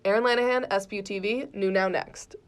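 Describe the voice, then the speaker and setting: A woman's voice: mid-range voice, fairly even intonation, brisk, clear, resonant tone. A young woman working as a student TV reporter, reading a scripted news report. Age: young adult.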